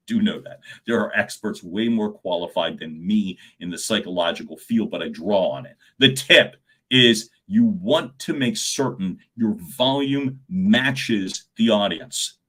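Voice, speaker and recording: Loud, animated middle-aged male coach, bright forceful voice, talks straight to a live audience. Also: a little slow, husky. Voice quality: loud speaking voice. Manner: animated, swings pitch and volume, chuckles often. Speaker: communication coach hosting a live stream. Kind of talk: live-streamed coaching talk.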